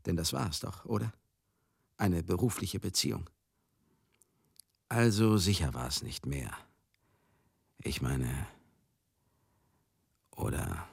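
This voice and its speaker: An actor in a radio drama monologue, playing a garrulous, hard-drinking older showman who grieves as he recalls a lost friend, husky, halting and confiding. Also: low voice, animated, dry recording, clean studio sound, heavily acted. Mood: grieving, shaken. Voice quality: husky, gravelly. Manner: halting, rambling, confiding, asks listeners questions.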